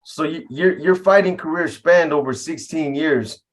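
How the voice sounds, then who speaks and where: Brief: man, fairly high voice, relaxed host reading out stats and questions. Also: moderate pace. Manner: casual, friendly, calls the guest "bro". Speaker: interviewer, host. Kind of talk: podcast interview.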